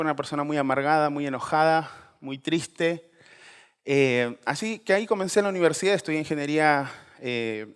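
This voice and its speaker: A man's voice: a little high, fairly bright tone, smooth. A man in his forties from Peru, giving a conversational personal testimony full of fillers and restarts. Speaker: speaker.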